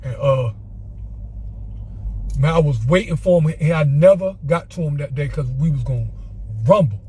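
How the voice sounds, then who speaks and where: A man's voice: on the high side, moderate pace, darkish tone, slightly rough. A man casually telling an off-the-cuff story, rambling and repeating himself. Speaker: storyteller vlogger.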